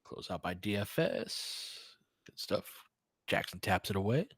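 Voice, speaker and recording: A man's voice: low-pitched, very animated, a little slow, creaky, breathy edge, dry recording, studio quality. A man with an American accent giving casual live basketball commentary, thinking aloud and chatting conversationally with listeners. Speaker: host of fan play-by-play livestream.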